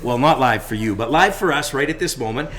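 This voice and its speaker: A man's voice: mid-range voice, animated, brisk, a bit bright. A middle-aged or older man with a Canadian accent co-hosting a casual podcast chat in an exuberant, jokey, exclamatory way. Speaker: podcast co-host. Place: coffee shop.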